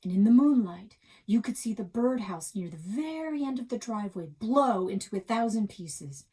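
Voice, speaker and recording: Husky woman reading a story aloud. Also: medium pitch, lively intonation, normal speed, warm tone. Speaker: librarian reading a children's novel aloud. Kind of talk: expressive read-aloud storytelling.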